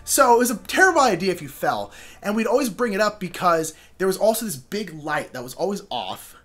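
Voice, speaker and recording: A young American man telling a personal story casually, in an animated, informal way with joking asides. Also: sits high, fairly quick. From Sacramento, California. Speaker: storyteller, show host.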